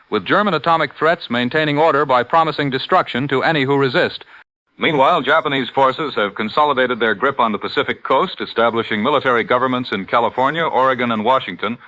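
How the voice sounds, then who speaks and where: A man playing a grave, formal wartime radio news anchor in a measured 1940s bulletin style. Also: medium pitch, bright, forceful tone, no room sound, natural voice. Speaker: news announcer. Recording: dull lo-fi old radio sound.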